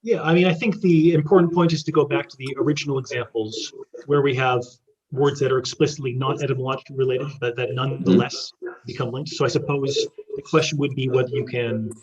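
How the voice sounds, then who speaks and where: Man, quick speech, muffled audio, hesitant self-correcting researcher, academic answers. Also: mid-range voice, fairly even intonation, a little mellow, a little hiss or hum.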